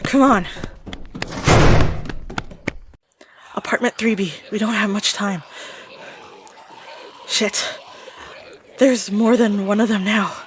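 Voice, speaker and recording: A woman's voice: mid-range voice, measured pace, pauses often, a little hiss or hum, natural voice. A woman playing a desperate, protective zombie outbreak survivor in an audio drama, giving urgent, clipped commands. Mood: urgent, tense.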